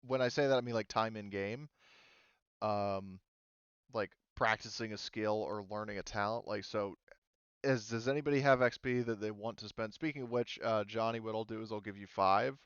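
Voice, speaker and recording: A man's voice: on the low side, fairly even intonation, normal speed, clean tone, no echo, dull audio. A man refereeing a casual tabletop game as game master, hesitant and thinking aloud as he talks.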